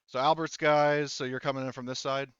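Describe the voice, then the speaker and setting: A man's voice: mid-range voice, level tone, a little fast, fairly bright tone, smooth, no echo, lo-fi sound. A man acting as game master, talking through a tabletop roleplaying scene informally and thinking aloud. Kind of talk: casual tabletop roleplaying narration and rules talk.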